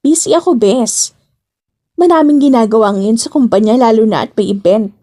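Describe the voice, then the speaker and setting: A woman's voice: fairly high pitch, moderate pace, warm tone, natural voice. A woman with a Filipino accent voicing a breezy young office worker, a chatty, slangy, teasing best friend in an audio drama.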